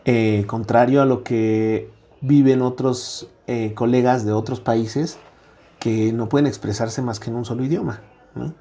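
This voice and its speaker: A middle-aged man with a Mexican accent talks as an interview guest, his voice dark and mellow at an ordinary middle pitch. He thinks aloud, padding his points with "eh" and checking in with "¿no?". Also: fairly even intonation, a little slow. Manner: reflective, frequent "eh" fillers and tag "¿no?". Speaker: guest academic. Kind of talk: conversational interview.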